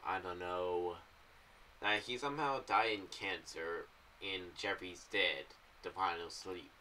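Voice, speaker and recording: Bright-voiced young American man, low pitch. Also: far from the mic, slight background noise. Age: young adult. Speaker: video creator giving theories on an audio drama series. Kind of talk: casual rambling commentary to camera. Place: small room indoors. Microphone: boom-arm condenser with pop filter, off to the side.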